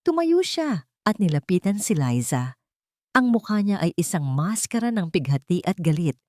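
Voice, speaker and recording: A woman narrating a romantic drama story from a script in a measured, dramatic, phrase-by-phrase manner. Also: on the low side, sing-song, mellow, no echo, clean studio sound.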